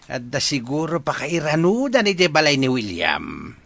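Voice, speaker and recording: A man's voice: fairly high pitch, sing-song, a little slow, vocal fry, fairly bright tone, no room sound, exaggerated voice. An actor playing a chatty, teasing village father with a Filipino accent in a radio comedy-drama. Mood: wistful, amused.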